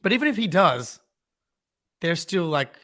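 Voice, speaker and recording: A young man with a fairly high voice chats about basketball in an easygoing way. He agrees often and fills pauses with "yeah, dude" and "um.". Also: sing-song, pushed and bright. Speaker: fan channel co-host. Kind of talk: casual live draft reaction chat.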